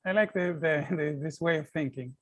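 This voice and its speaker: A man's voice: fairly high pitch, normal speed. A man hosting an online panel, reading out audience comments and thinking aloud, often restarting his words. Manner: thoughtful, hesitant, repeats words. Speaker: panel host. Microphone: video call.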